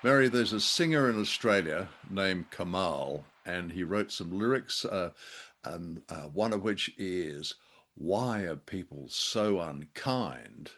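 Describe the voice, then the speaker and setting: A man's voice: low-pitched, lively intonation, takes it slowly, a bit bright. A man co-hosting a review podcast, chatting casually in a wry, jokey way. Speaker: podcast co-host.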